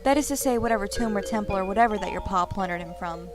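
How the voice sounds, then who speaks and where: A woman's voice: medium pitch, fast, over music, natural voice. A woman voicing a sardonic young girl adventurer, narrating and talking in a game fan dub with wry, casual asides. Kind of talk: fandub game narration and dialogue.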